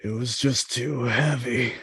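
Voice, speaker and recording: A cocky but worn-out bro ghost played by a man at a table read. The voice is husky and slow, recorded very dry. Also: mid-range voice, steady tone, a bit bright, dry recording. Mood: defeated.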